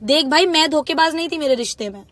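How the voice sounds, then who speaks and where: A young woman speaks very quickly in a high voice on a recorded phone call. She sounds agitated and pleading, pressing her case insistently in informal speech. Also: lively intonation, fairly bright tone. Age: young adult.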